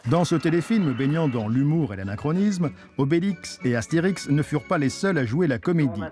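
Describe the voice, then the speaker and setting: A male narrator reads a scripted documentary in a mid-pitched voice with very lively intonation. Music plays behind him. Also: fairly quick.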